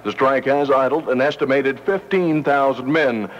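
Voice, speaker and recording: A man's voice: mid-range voice, moderate pace. An American man reading a scripted radio news report from around 1970. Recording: muffled old radio broadcast.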